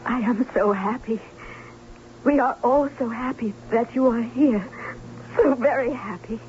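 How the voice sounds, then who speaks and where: An actress playing an anxious, wistful young bride-to-be, speaking in a measured, melodramatic way in an old-time radio drama. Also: female voice, fairly high pitch, slight background noise, lightly acted. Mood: tender joy. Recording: thin phone-like old radio recording.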